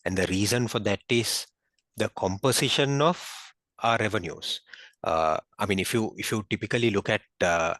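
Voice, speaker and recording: A man speaking as a finance executive on an investor call, explaining in a halting, measured, unscripted way. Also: lowish voice, fairly bright tone, no room sound. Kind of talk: unscripted business earnings call.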